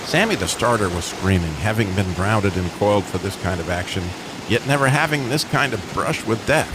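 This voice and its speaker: A man narrating a scripted radio drama as a dramatic storyteller. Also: on the low side. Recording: clear rain and rushing water noise behind.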